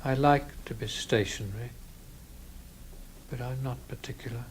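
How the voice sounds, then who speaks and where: An actor playing a withdrawn, stubborn copyist who answers in quiet, curt refusals. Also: male voice, mid-range voice, steady tone, moderate pace, slight background noise, lightly acted. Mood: detached. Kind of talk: old radio drama acting.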